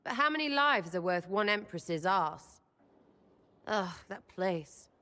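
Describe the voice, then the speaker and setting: A woman's voice: mid-range voice, animated, slow pace, sharp tone, long reverb tail. A woman playing a brash, irreverent young elf rebel in a video game, with an English accent and blunt, slangy, cheeky delivery. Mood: bitter, irritated. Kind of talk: video game cutscene acting.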